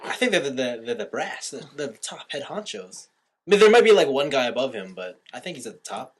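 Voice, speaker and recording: A man's voice: mid-range voice, big pitch swings, a little fast, husky. Informal man explaining on a podcast. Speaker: podcast host. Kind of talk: casual movie review chat.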